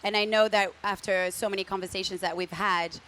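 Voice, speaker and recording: A woman hosting a live fashion talk in front of an audience, with a middle-pitched voice. She speaks haltingly, often repeating small words before she finds her phrase. Her delivery is friendly and conversational. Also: fairly even intonation, slight background noise, poor audio. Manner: hesitant, repeats words, warm welcoming tone. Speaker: host. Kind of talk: live event interview. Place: event space with live audience.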